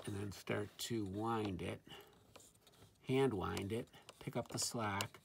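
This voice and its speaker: A man with a deep, gravelly voice talks through a hands-on demonstration at a tabletop indoors. He is informal and thinks aloud, hesitating as he goes. There is faint noise and some handling clicks in the recording. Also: a bit bright. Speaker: presenter of a gadget review. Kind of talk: casual hands-on product demonstration.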